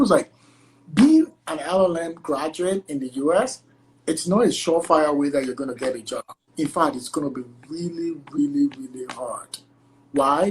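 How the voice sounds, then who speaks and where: Young Nigerian-accented man, animated and talkative guest on a video call. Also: on the high side, a little hiss or hum, poor audio. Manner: animated, chatty, many fillers like "like" and "you know". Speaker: guest, entertainment lawyer. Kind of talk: casual interview conversation.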